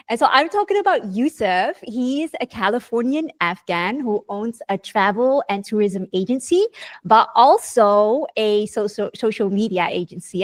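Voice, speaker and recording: A woman's voice: fairly high pitch, no room sound. A woman hosting a conversational interview, speaking in an informal, emphatic way and addressing her audience directly. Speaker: interviewer and host.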